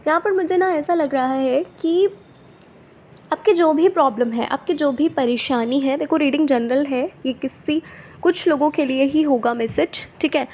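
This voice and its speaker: A woman tarot reader with a sore throat, speaking softly and gently, often checking in with her viewers. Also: moderate pace, a little hiss or hum. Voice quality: soft and low-volume.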